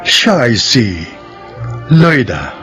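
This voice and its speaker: A man announcing the credits and introduction of a radio drama in a formal, measured manner. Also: medium pitch, very animated. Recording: dull lo-fi old radio broadcast. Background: soft music.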